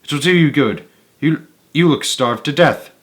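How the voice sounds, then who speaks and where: A man voicing an eccentric, excitable male chocolate maker who exclaims and chants in a sing-song way. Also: a little high, normal speed, close to an everyday voice. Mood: brisk.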